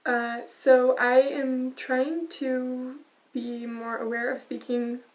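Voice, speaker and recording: A quiet-voiced woman hosting a casual knitting podcast, soft-spoken and full of small ums and uhs. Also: sits high, level tone, slow pace, distant mic. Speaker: podcast host.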